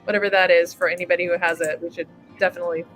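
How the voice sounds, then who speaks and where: A woman's voice: lowish voice, flat delivery, very fast, fairly bright tone, faint music behind. A woman hosting a webinar in casual chat over a video call, bubbly and laughing often. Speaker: webinar host.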